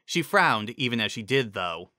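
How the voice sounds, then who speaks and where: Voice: mid-range voice, very animated, moderate pace, bright, forceful tone, no echo, clean studio sound. A narrator giving a dramatic scripted reading of a fantasy story. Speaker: narrator reading fan fiction.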